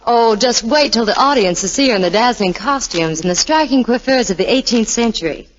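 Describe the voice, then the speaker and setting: Gushing woman reporter with swooping pitch. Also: expressive, a little fast. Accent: American. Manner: gushing, exclamatory. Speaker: Hollywood reporter. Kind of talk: scripted 1940s radio promotion. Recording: muffled old radio recording, faint noise.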